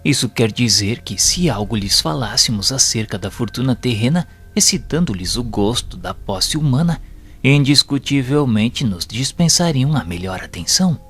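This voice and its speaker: An earnest, respectful spirit apprentice, acted by a man with a raspy, mid-pitched voice and Brazilian accent. He questions formally with lively intonation, in radio-play style, over faint music. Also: normal speed. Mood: curious, respectful. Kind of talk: audio drama dialogue.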